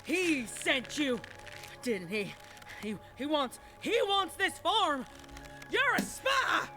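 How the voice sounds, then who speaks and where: A woman's voice: sits high, big pitch swings, slow pace, soft background music, voice pushed up, full character voice. A woman voicing a deranged, paranoid country farm pony with a rural Southern American accent, rambling and stammering with vengeful menace in a horror story reading. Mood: paranoid, angry. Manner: rambling and stammering, snapping into angry shouts. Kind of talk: dramatic horror fan fiction reading.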